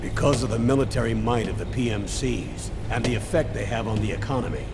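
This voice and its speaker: Grave older colonel, acted by a man, gravelly. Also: medium pitch, background noise, lightly acted. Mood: grave briefing. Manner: solemn, deliberate, authoritative. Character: grave, earnest older male colonel giving briefings. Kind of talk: dramatic video game mission briefing.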